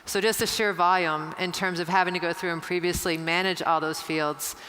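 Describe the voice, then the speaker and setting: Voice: mid-range voice, steady tone, brisk, pushed and bright, slight background noise. A conference presenter who talks in a polished, corporate way and hands the stage to the next speaker. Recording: long hall reverb.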